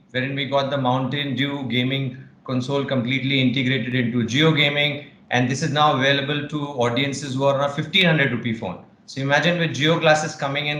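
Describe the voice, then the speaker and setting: Man in an echoey room. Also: medium pitch. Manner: business jargon, steady and assured. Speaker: panelist. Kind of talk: online panel discussion.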